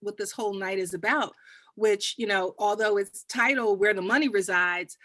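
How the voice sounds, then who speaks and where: A woman's voice: mid-range voice, fairly bright tone, no echo. A woman with a Texas accent moderating a panel discussion, upbeat and cheering on her guests.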